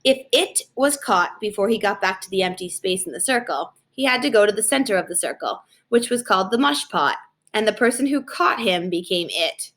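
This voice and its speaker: A woman narrating a children's book aloud in an expressive storytelling style. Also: mid-range voice, fairly quick. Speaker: storybook reader.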